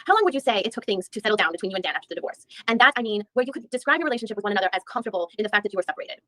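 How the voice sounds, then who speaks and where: A woman reads a dogged female attorney working through a deposition. She fires off methodical questions at a very quick clip, often restarting mid-sentence. The sound is close and dry. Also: medium pitch, animated, fairly bright tone, no echo, natural voice. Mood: probing. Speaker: reader in a deposition reenactment. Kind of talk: read-aloud legal reenactment.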